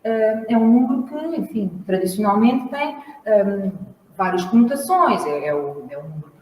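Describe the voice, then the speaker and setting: Woman with a Lisbon accent presenting a song on stage; measured, explanatory delivery in a long-reverb hall. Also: mid-range voice, lively intonation, mellow. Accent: Lisbon Portuguese. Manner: measured, explanatory, pausing to search for words. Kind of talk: spoken introduction at a live event. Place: large hall at a library event. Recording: echoey hall, mic at a distance.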